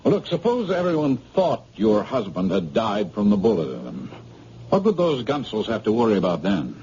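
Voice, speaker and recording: An actor playing a polite, resourceful hospital doctor, on a dull old radio recording. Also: male voice, lowish voice, huge pitch swings, normal speed, dark tone, a little hiss or hum. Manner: polite, thoughtful.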